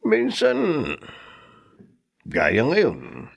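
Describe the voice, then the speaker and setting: A man's voice: medium pitch, huge pitch swings, extremely slow, creaky, slightly rough, dull audio, exaggerated voice. An actor playing a middle-aged widowed father who grieves his late wife, speaking in a subdued, heavy-hearted way. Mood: guilty. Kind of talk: audio drama acting.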